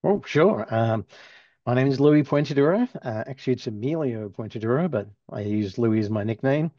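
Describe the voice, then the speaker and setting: A man in his sixties from Australia, with an Australian accent worn toward American, relaxed and storytelling as an interview guest, with soft chuckles. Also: medium pitch, normal speed, no room sound. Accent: Australian, softened toward American. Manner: relaxed, chuckles while telling stories. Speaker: physical therapy professor, guest. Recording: dull lo-fi sound.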